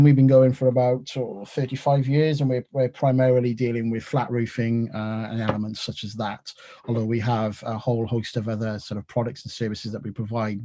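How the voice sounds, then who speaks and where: A middle-aged Welsh man giving a technical seminar over a video call, talking in a relaxed, conversational way with plenty of fillers. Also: medium pitch, dry recording. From South Wales. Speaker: technical presenter. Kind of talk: online professional seminar.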